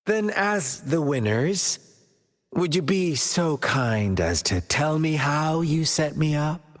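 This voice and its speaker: A theatrical game villain who taunts and teases, his pitch swooping widely as he lingers slowly over each word. The voice rings with a long hall reverb. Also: animated. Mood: sly. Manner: teasing, drawn-out, theatrical taunts. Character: mocking young male villain, theatrical and sly. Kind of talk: video game cutscene acting.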